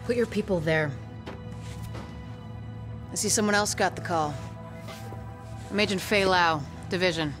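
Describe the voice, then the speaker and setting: A woman's voice: fairly low pitch, a little slow, pauses often, music in the background, natural voice. A woman playing a determined young government field agent in a video game, earnest and businesslike. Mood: resolute, businesslike. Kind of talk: video game cutscene acting.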